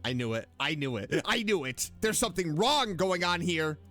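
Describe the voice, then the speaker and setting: A man's voice: fairly high pitch, big pitch swings, normal speed, a bit bright, soft background music. A man giving casual reactions while streaming a game, muttering playful asides and often repeating himself. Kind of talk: casual gameplay reaction commentary.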